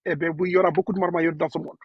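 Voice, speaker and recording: A man in a live debate, speaking in a measured, repetitive and defensive way. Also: on the high side, flat delivery, husky, dry recording, highs cut off. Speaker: debate participant.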